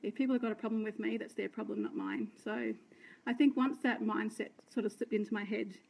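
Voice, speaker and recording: Chatty Australian woman, slightly croaky. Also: medium pitch, lively intonation, fairly quick, a little mellow, low-quality recording. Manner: chatty, rambling, self-deprecating, greets viewers. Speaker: direct sales team leader. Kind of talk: unscripted live video talk. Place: indoor room by curtains. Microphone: phone held close, front camera.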